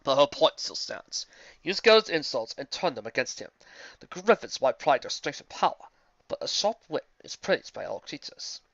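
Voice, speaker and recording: A man voicing a learned, courteous male wizard pony, speaking in a measured, formal way in a storybook reading. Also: a little high, very animated, creaky, fairly bright tone, dry recording, lo-fi sound, exaggerated voice. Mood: approving, advising.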